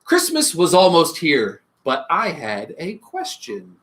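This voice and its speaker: A bedtime-story host reads for children with very lively, expressive pitch. The delivery is playful, moving between storybook narration and casual asides. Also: slow, a little hiss or hum. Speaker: host reading bedtime stories.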